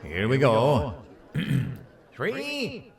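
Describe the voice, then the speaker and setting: An actor playing a clueless, self-assured president in a comedic audio drama, hesitant and earnestly rambling. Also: male voice, mid-range voice, huge pitch swings, drawn-out words, recorded from a distance, some chatter behind, full character voice. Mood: pompous speechmaking. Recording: roomy echo, off the mic.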